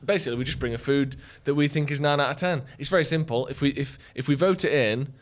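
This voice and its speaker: A man co-hosting a podcast, ribbing his friends with quick, rambling asides. His voice sits at an ordinary middle pitch. He talks in loose, casual banter. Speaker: podcast co-host.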